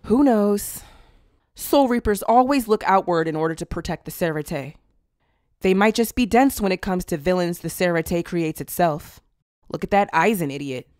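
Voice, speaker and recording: A woman's voice: lowish voice, lively intonation, normal speed, darkish tone, dry recording, clean studio sound, close to an everyday voice. A woman playing a brash, dismissive big sister in an anime fan dub, curt and blunt. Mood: irritated.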